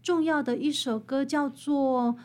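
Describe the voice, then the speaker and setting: A woman's voice: high-pitched, steady tone, a little slow, darkish tone. A woman with a Taiwanese Mandarin accent, an author interviewed as a guest, explaining earnestly and conversationally with repeated, parallel phrases.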